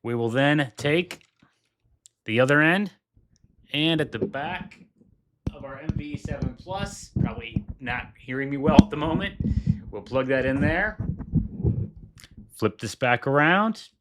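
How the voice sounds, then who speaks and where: A man's voice: fairly high pitch, expressive, unhurried, pauses often, fairly bright tone, slight background noise. A man hosting a tech video, walking through a product demo with enthusiasm and repeating his points for emphasis. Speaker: tech reviewer.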